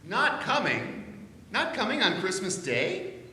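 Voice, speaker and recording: A man playing a poor, gentle, loving father in a live Victorian dramatic reading. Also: high voice, huge pitch swings, slow, heavily acted. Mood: eager, surprised. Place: live in a church. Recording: echoey hall, faint noise.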